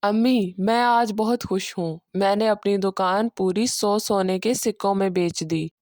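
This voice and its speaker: A cunning, lazy young cobbler from a cartoon story, played by a woman, always glib and persuasive. The voice is mellow, in a dry recording. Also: medium pitch, steady tone, a little slow, no echo, pitched below the performer's own voice, natural voice. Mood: eager.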